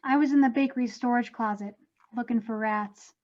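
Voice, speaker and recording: A fussy, overbearing middle-aged ghost mother, acted by a woman, with a high voice that gushes over her child. It is a cartoon comedy read, caught on a dull lo-fi microphone. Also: fairly even intonation, fairly quick, a little mellow, lightly acted. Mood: puzzled recalling. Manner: doting, chatty, overbearing. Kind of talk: animated comedy table read.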